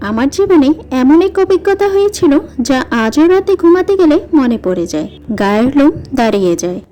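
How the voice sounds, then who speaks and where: Female horror narrator: high, mellow tone, suspenseful scripted delivery. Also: very animated, brisk. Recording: music behind the voice. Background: background music.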